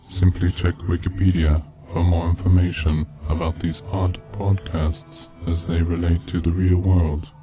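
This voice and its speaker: A man reading a scripted narration in a measured, deliberate manner. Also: low-pitched, level tone, dark tone. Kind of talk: scripted narration of a video game mystery. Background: background music.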